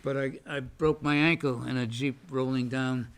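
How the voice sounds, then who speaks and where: An older man, a veteran, recalling his past in an oral history interview, halting with many restarts and fillers and a loud voice. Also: mid-range voice, fairly even intonation, clean tone. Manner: says he has a loud voice.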